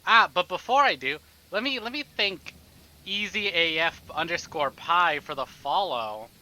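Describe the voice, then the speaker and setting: A man's voice: high-pitched, animated, a little slow, sharp tone, poor audio. A man hosting a casual livestream, chatting to viewers in a playful, rambling, exclamatory way and often repeating himself. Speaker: livestream host, gamer.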